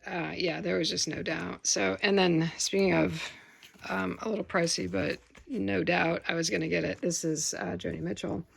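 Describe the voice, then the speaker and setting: A tired woman with a gravelly voice, rambling casually about her record haul in a home vlog. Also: on the low side. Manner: rambling, many fillers and restarts. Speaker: vinyl record vlogger. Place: home room with window open. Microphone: phone camera.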